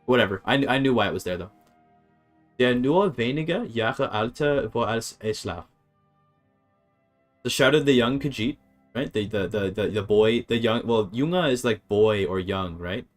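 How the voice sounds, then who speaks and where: Guy streaming a game, chatty, muses out loud, soft music behind. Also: mid-range voice, animated, measured pace. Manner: thinking aloud, chatty, reads chat and works through grammar. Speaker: streamer learning a language. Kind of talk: casual live-stream commentary.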